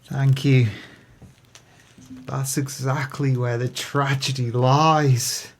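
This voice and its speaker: A grandiose crooked swindler in an audio play, super slow drawn out delivery, gravelly creak, melodramatic. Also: male voice, medium pitch, flat delivery. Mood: mock mournful. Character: crooked male swindler, mournfully grandiose and evasive.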